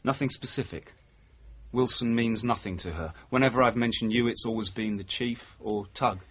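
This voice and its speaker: A British actor playing a dogged, level-headed detective sergeant in a radio drama, terse and measured as he confronts his boss. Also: mid-range voice, a bit bright, breathy edge. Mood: guarded. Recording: dull, muffled old radio recording.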